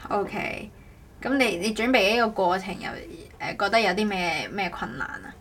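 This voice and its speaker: A woman's voice: medium pitch, lively intonation, moderate pace, a bit bright, slight background noise. A woman with a Cantonese accent hosting a podcast in casual chat, rambling a little and rephrasing herself as she goes. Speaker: podcast host.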